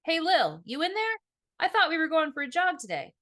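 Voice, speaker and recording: A woman's voice: extremely high pitch, wildly swooping pitch, a little fast, sharp tone, voice pushed up, heavily acted. A woman reading an audiobook voices a pushy, upbeat older brother who teases and cajoles. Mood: cajoling. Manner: teasing, cajoling. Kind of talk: audiobook character dialogue.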